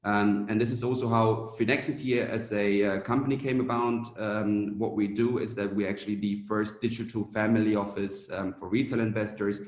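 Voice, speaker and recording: A young man from Germany talks through a business presentation in a fairly low voice, often stopping on "um" as he gathers his thoughts. His voice carries the echo of a reflective room. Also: steady tone, normal speed, few pauses. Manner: hesitant, many "um" fillers. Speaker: startup founder and CEO. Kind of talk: conference presentation.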